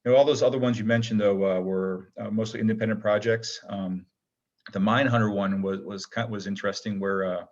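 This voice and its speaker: A man with a fairly low voice chats casually as an interview guest. He rambles modestly and hesitantly, and the recording is somewhat dull and lo-fi. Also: steady tone, fairly quick, darkish tone. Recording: dull, lo-fi connection.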